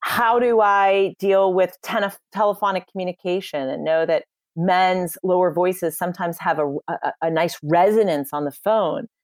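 A woman's voice: fairly low pitch, steady tone, normal speed, hardly any pauses, no room sound. A woman giving confident, emphatic advice on communication in a podcast interview. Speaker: communication coach for lawyers.

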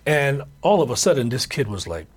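Husky middle-aged guy on a podcast, rambly storytelling, lots of uh and you know. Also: mid-range voice, sing-song, a little fast. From Hawaii. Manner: storytelling, many fillers and restarts. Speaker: podcast host. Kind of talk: casual chat.

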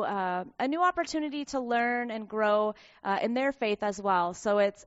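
Missionary woman, earnest church update; smooth, close and dry, muffled audio. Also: a little high, dry recording. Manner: earnest, with frequent filler pauses. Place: church sanctuary.

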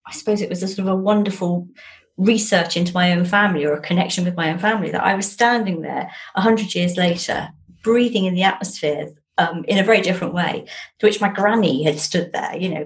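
An English woman, an author being interviewed over a video call, telling anecdotes in a chatty, discursive and self-deprecating way. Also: fairly low pitch.

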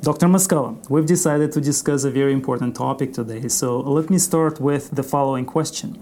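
A man hosting an interview podcast in a formal, scripted style, measured and courteous. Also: mid-range voice, warm tone, slight background noise.

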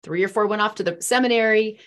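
A woman's voice: mid-range voice, fast talker. A woman in her forties from the Midwest, sharing her faith story as a podcast guest in an earnest, rambling way with many fillers. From East Central Illinois. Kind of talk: faith testimony in a podcast interview.

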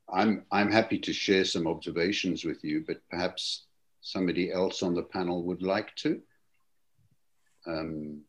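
A man leading a webinar discussion, speaking in a gentle, reflective and courteous way over a video call. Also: low voice. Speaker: webinar panelist and host.

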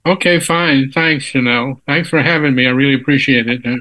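A ninety-year-old man from Texas answers questions as an interview guest. He talks in an unhurried, halting way, full of pauses and restarts. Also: medium pitch, bright, forceful tone, rough recording. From Texas, USA. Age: 90s. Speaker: physicist guest. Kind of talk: casual interview.

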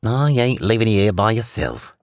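A man's voice: on the low side, very animated, moderate pace, dry recording, studio quality, voice pushed up. A man voicing a loyal, stubborn little elf sidekick with a folksy, reassuring manner in a story. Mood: loyal, determined.

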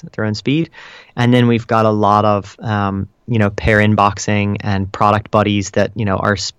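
Low-pitched man explaining in an interview. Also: smooth, no echo. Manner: explanatory, measured, hedging with "kind of" and "you know". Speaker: interview guest, customer support director. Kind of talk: conversational interview answers.

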